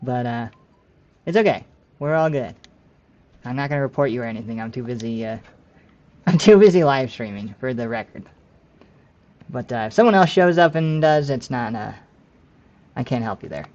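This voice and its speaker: A man's voice: medium pitch, expressive, moderate pace, long pauses, darkish tone, lo-fi sound. A man in his late twenties chatting casually with viewers while streaming a game, rambling and correcting himself as he goes, with frequent laughs. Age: 20s.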